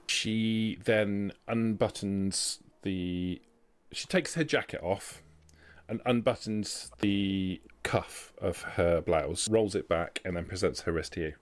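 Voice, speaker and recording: A man working as game master, improvising narration for a tabletop game in a deliberate, explanatory way. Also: sits low.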